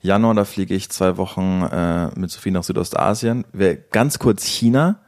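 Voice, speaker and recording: Low-voiced man hosting a casual chat. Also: moderate pace, a little mellow. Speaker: podcast host.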